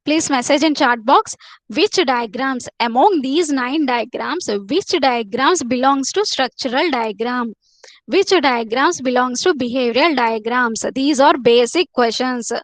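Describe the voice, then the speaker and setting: A woman teacher leads a live online class, her voice sitting high. She repeats herself often and coaxes students to answer. The sound is dry and close, picked up by a computer mic. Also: measured pace, no room sound, low-quality recording. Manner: repetitive, coaxing students to answer.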